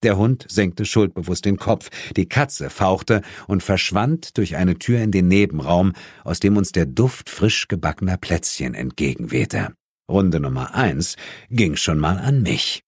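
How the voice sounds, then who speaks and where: A man's voice: low-pitched, animated, measured pace, breathy edge, no room sound, clean studio sound. A man narrating a horror audiobook in the first person, in a dramatic scripted style.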